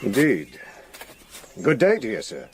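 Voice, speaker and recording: An actor playing a reserved, deferential land agent in a radio drama, formal and clipped, with a husky, gravelly voice. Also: male voice, lowish voice, wildly swooping pitch, a little slow, a little hiss or hum. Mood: formal, guarded. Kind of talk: British radio drama acting.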